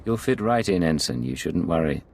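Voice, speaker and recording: A composed male secret agent in a sci-fi game, with a gravelly voice. The delivery is formal and controlled, yet the pitch moves in lively swings, over some background noise. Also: moderate pace, exaggerated voice. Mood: firm, reassuring. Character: composed male imperial intelligence field agent. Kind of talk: video game voice acting.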